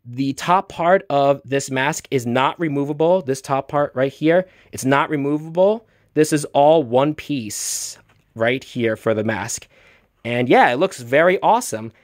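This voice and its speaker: A man's voice: mid-range voice, a little slow, no room sound, clean studio sound. A man giving a chatty show-and-tell product review, enthusiastic and fond of repeating emphatic phrases. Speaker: toy reviewer.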